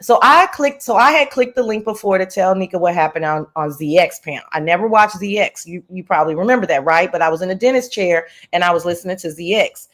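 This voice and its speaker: A woman chatting casually as a livestream host, telling gossip in a slangy, profane, animated way and often wandering off on tangents. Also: fairly low pitch, fast talker, few pauses.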